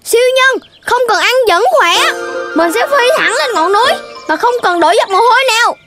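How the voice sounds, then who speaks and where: Very high-pitched eager cartoon animal child. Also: female voice, animated, normal speed. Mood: excited, boastful. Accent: southern Vietnamese. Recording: loud music under the voice.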